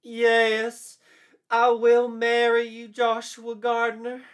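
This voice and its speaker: A man's voice: extremely high pitch, completely flat delivery, very slow, pitched above the performer's own voice, exaggerated voice. A man voicing an earnest young woman in love in a storybook tale, with dramatic, emphatic delivery. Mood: elated acceptance.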